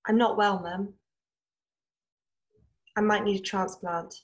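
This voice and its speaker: An actress plays a seriously ill daughter in a drama. She is slow and halting, earnest and pleading, trying hard to stay practical. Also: mid-range voice, steady tone, close to an everyday voice. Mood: grave, urgent. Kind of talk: stage drama scene acting.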